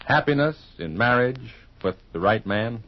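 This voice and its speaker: A man announcing on 1950s radio, reading scripted pitches and narration in an emphatic, folksy, polished way. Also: expressive, a little slow, no echo. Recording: muffled vintage radio recording.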